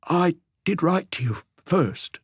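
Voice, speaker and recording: A man's voice: high voice, expressive, slow pace, breathy edge, no echo, studio quality, pitched above the performer's own voice, heavily acted. A man voicing a timid, humble, nervous tradesman in an audiobook, hesitant and apologetic in the way he talks. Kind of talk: audiobook character dialogue.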